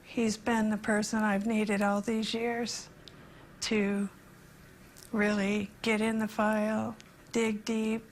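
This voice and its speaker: Woman, middle-aged, halting interview delivery, creaky and flat in pitch. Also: slight background noise, rough recording. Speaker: interviewee, victim's daughter.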